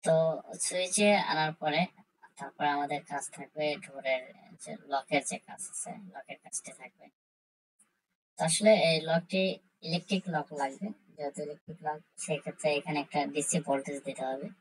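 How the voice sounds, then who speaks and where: A low-voiced woman presents an informal technical tutorial. Her voice is husky and somewhat unclear in tone. She explains haltingly, step by step, often repeating herself. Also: poor audio. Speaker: tutorial host.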